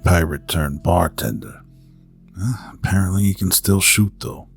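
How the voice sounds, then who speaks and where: A man's voice: deep voice, measured pace, creaky, faint music behind. An actor playing a world-weary, sardonic hard-boiled detective in an old-time style radio drama, muttering his lines. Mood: wry muttering.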